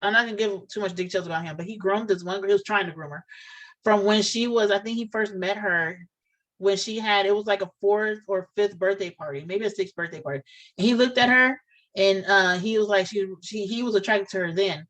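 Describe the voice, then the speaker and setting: A woman's voice: medium pitch, brisk, fairly bright tone, poor audio. A woman chatting casually on a reaction show, earnest and rambling, often restarting her sentences. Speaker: co-host of a reaction channel.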